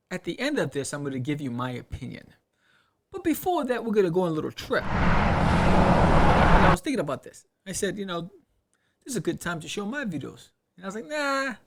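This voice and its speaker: A man hosting a podcast in a casual solo talk, rambling in an animated way and often throwing out rhetorical questions. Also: on the high side, creaky, a little hiss or hum. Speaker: podcast host. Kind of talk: casual solo talk to camera or mic about crowdfunding.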